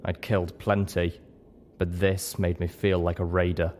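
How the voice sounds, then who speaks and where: Casting: male audiobook narrator, low voice, scripted first-person storytelling, some noise behind. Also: level tone, moderate pace, darkish tone.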